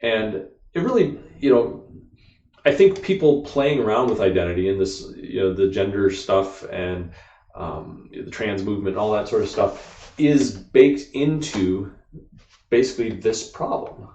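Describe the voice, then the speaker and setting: A man's voice: fairly low pitch, expressive, measured pace, warm tone, recorded from a distance. An American man, a podcast co-host, explaining ideas at length in casual discussion, full of "like" and "you know.". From United States. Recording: dull, echoey room, off-mic.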